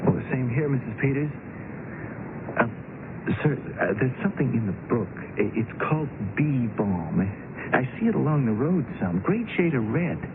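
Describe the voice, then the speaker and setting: Naive young biker, lively swings in pitch, informal, keen; vintage radio drama, very noisy. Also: male voice, narrow, tinny audio. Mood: eager. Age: young adult. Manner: enthusiastic, halting, informal. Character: eager, naive young motorcyclist from the Bronx. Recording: very noisy, band-limited vintage radio broadcast.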